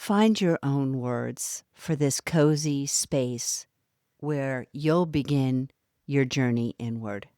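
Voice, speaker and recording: Woman guiding yoga nidra, super slow soothing voice, dry close sound. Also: a bass voice, smooth, no echo. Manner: slow, soft and soothing, with long pauses. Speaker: meditation guide. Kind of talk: guided yoga nidra meditation.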